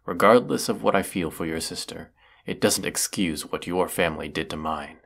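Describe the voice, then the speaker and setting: A man playing a brooding villainous wizard in a fantasy audio roleplay, stern and formal in his deliberate delivery. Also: deep voice, vocal fry, fairly bright tone, heavily acted. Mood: wistful, restrained. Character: brooding villainous wizard of the woods, secretly lovelorn.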